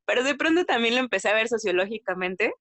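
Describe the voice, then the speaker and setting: A woman from Mexico with a Mexican accent, chatting casually as a podcast guest, retelling anecdotes in an animated way with slang and quoted dialogue. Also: on the high side, fast talker, no room sound. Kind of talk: casual conversational storytelling.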